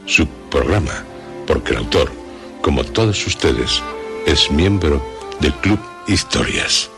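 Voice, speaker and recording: A man presenting radio horror stories in deliberate, suspenseful short phrases. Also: rumbling low, sing-song, vocal fry, over music. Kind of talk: scripted, dramatic radio introduction.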